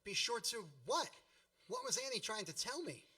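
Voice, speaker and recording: A man's voice: high voice, sing-song, moderate pace, bright, forceful tone, distant mic, full character voice. An actor narrating a radio play as a wry grown man recalling boyhood with mock-grand melodrama. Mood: suspenseful.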